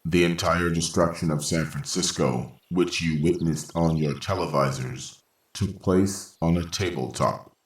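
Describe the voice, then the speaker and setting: A man playing a calm, logical robot caretaker in a science fiction radio drama, speaking formally and with unshakeable composure. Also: low-pitched. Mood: calm, explanatory. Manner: measured, formal, matter-of-fact. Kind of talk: old-time radio science fiction acting. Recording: roomy, echoing voice.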